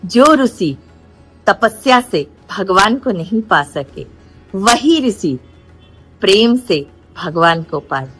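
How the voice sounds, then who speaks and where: A woman narrating devotional stories in a dramatic storytelling style, with deliberate phrasing and pauses. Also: medium pitch, big pitch swings, low-quality recording. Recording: faint background music. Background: soft music.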